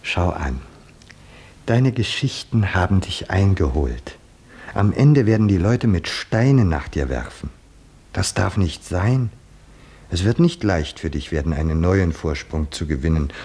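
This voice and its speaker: Husky, low-voiced solemn blind seer. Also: male voice, lively intonation, darkish tone, full character voice. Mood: insistent, reproachful. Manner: deliberate, oracular, insistent. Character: solemn near-blind male poet-seer, coolly admonishing a hero. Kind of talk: 1950s German radio drama acting.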